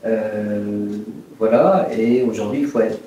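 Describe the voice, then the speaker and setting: A man in his fifties speaks reflectively in a mellow, relaxed tone, often pausing to find his words as he is interviewed. The room is strongly reverberant, the microphone is distant, and a faint noise sits in the recording. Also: fairly low pitch. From Brittany, France. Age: 50s. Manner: hesitant, halting, reflective. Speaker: interviewed entrepreneur. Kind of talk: personal life-story interview.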